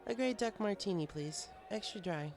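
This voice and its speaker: Man doing a weary, high-voiced schoolteacher mare. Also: animated, normal speed, soft background music. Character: weary, stressed female pony schoolteacher, lamenting.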